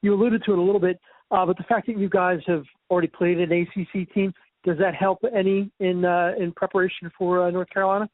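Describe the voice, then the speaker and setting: High-voiced reporter on a tinny phone. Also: male voice, little pitch movement, fast, no room sound. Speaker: sports reporter. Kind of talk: asking questions on a conference call. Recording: tinny dry phone line.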